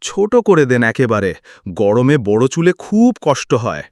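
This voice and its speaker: A man's voice: medium pitch, very animated, moderate pace, dry recording, clean studio sound. A man voicing a blunt village man in a cartoon folk tale. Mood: matter-of-fact. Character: blunt village man, impatient customer or fish seller.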